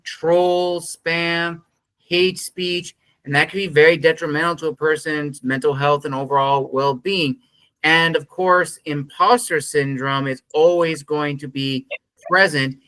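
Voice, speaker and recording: A man's voice: on the high side, bright, forceful tone, clear, resonant tone, no echo, rough recording. A man hosting an interview podcast, asking earnest, rambling questions full of fillers. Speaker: podcast host interviewing a narrator.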